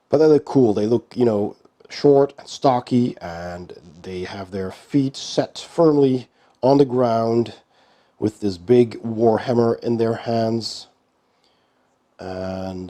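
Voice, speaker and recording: A man with a cold speaks in a hoarse, very dark and mellow voice that sits fairly low. He talks very slowly and casually as he hosts an unboxing. His delivery is low-key, with many pauses between thoughts. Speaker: board game channel host.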